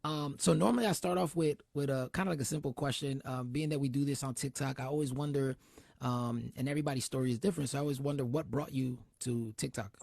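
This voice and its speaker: A man's voice: mid-range voice, steady tone, quick pace, vocal fry, darkish tone, no echo, clean studio sound. A man from New Jersey hosting a casual interview, chatty and full of restarts and fillers. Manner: chatty, many fillers and self-corrections. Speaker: host.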